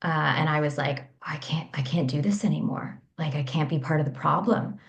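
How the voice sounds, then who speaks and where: A woman guest speaking candidly about her own life. She pitches her voice on the low side and talks softly and reflectively, often restarting her sentences as she thinks aloud. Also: moderate pace, vocal fry, muffled recording. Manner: soft, reflective, with frequent restarts. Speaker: guest, women's coach. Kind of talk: candid personal storytelling.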